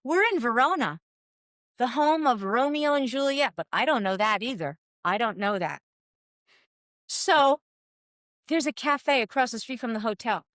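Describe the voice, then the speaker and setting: A woman in her sixties from California, a former cycling soigneur telling animated stories in an interview and acting out the dialogue. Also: on the high side, moderate pace, pauses often, dry recording, clean studio sound. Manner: lively, acts out quoted dialogue.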